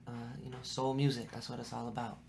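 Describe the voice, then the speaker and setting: A man from San Francisco chatting casually as a musician guest on a podcast, in a laid-back, slangy way with free swearing and a gravelly voice. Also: mid-range voice, level tone, fast talker, a crowd in the background. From San Francisco, United States. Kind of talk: casual podcast interview chat.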